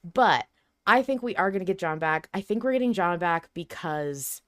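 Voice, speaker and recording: A woman's voice: fairly low pitch, lively intonation, moderate pace, a bit bright, dry recording, studio quality. A woman hosting a podcast, casually discussing fiction and thinking aloud, often rephrasing and repeating for emphasis. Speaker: podcast host. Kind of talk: casual discussion of an audio drama.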